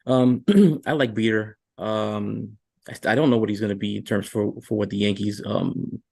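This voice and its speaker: A man's voice: fairly low pitch, fairly even intonation, normal speed, gravelly, darkish tone, slightly rough, no room sound. A man on a sports talk stream, giving casual analysis as a panelist.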